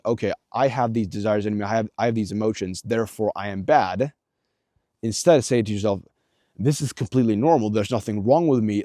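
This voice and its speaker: A young man talks through a casual self-help monologue in a lowish, mellow voice, blunt and profane, with lots of fillers and restarts. The sound is dry and close, with no room ring. He coaches the listener in an earnest tone. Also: no echo. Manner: blunt, heavy swearing, many fillers and restarts; earnest, coaching tone aimed at viewer. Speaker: self-improvement vlogger.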